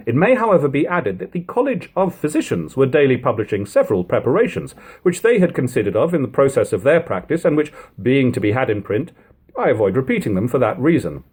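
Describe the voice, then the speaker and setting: Expressive male narrator with a husky edge. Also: mid-range voice. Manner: measured, emphatic, old-fashioned literary phrasing. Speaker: audiobook narrator. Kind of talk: dramatic reading of an old first-person chronicle.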